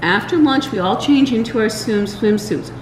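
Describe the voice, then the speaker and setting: An older woman reading a children's storybook aloud, expressive and deliberate, with small stumbles. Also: on the high side. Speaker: library story time reader. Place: indoors in a large library room. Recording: roomy echo, faint background room noise.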